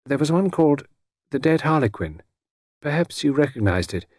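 A man acts out a titled lady from a storybook. The voice is small, cold and raised in pitch, formally polite and reserved, with a very dry sound. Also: sing-song, normal speed, darkish tone, no echo. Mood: earnest, reserved.

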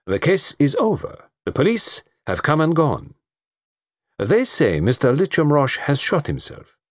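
A man's voice: fairly high pitch, wildly swooping pitch, a little slow, no echo, studio quality, voice pushed up, exaggerated voice. A man doing a fussy French-accented detective. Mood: commanding. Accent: put-on French. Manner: precise, formal, probing questions. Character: fussy, shrewd male foreign detective, middle-aged. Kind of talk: audiobook character voice.

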